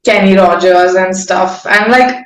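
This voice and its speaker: Young woman, mid-pitched, informal and effusive interviewee in a roomy, echoing space. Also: measured pace. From India. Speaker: singer-songwriter guest. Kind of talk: candid casual interview chat.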